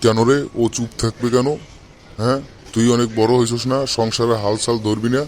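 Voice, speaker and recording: A man's voice: mid-range voice, little pitch movement, brisk, darkish tone, slight background noise, lightly acted. A man playing a weary, complaining old father in a cartoon, with a Bangladeshi accent. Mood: scolding. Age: older. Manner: complaining, reproachful, lamenting. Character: weary, scolding old father of a poor family. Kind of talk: amateur cartoon voice acting in colloquial Bengali.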